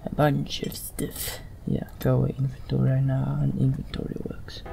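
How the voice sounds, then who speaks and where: A man's voice: mid-range voice, completely flat delivery, moderate pace, gravelly, in a whisper. A man hosting a casual let's play, musing aloud as he goes. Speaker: gaming channel host. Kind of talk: casual let's play commentary with some read-aloud roleplay. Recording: game music behind the voice.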